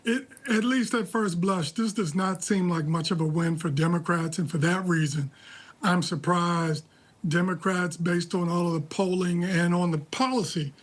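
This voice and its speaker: A man with an American accent giving live political analysis in a measured, deliberate, critical way. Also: sits high, steady tone. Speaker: political analyst, interview guest. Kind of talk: live news commentary.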